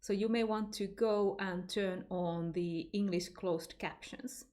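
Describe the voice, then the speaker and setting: A woman with a Finnish accent chats in an unscripted book vlog, reading out each question, then answering in a loose, friendly way. She says "uh" and "like" now and then and restarts her sentences, and her voice sits at an ordinary middle pitch. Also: steady tone, slow, a little mellow. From Finland. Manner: chatty, unscripted, with fillers and restarts. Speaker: book vlogger. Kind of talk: casual tag video answering questions.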